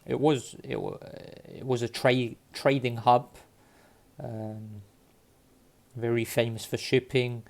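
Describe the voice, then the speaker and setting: A man from Cyprus talking casually to his viewers, halting often with fillers and restarts. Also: medium pitch, little pitch movement, gravelly. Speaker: vlogger sharing DNA results. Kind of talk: casual talk to camera. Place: London.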